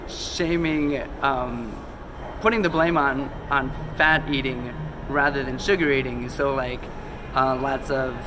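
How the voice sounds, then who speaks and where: A man's voice: medium pitch, background noise. Sick-sounding man rambling casually. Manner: loose, chatty, many ums and self-corrections. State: a little sick, losing his voice. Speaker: conspiracy video host. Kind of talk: casual rambling talk to camera. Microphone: phone camera.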